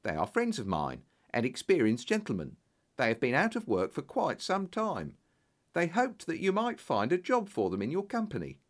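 A man's voice: on the high side, huge pitch swings, normal speed, pitched above the performer's own voice. A man voicing an earnest, puzzled young clerk in an audiobook story, polite but insistent.

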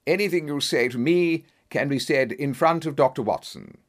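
A man voicing a confident, observant gentleman detective in a storybook, with an assured, brisk and dry manner. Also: a little high, natural voice. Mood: dry, firm.

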